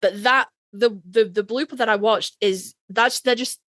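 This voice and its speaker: A woman's voice: fairly high pitch, normal speed, a bit bright, dry recording. Woman doing a fan podcast, gushy and sweary, takes the piss out of herself, really dry sound. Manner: sweary, gushing, self-mocking. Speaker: podcast co-host. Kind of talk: casual fan podcast chat.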